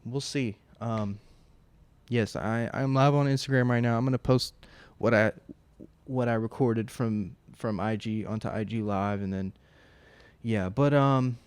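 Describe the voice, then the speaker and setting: A man's voice: medium pitch, fairly even intonation, unhurried, darkish tone, clear, resonant tone, no room sound. A man in his twenties hosting a casual solo podcast from home, rambling and profane, full of fillers and restarts. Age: 20s. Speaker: podcast host. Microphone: close mic at home.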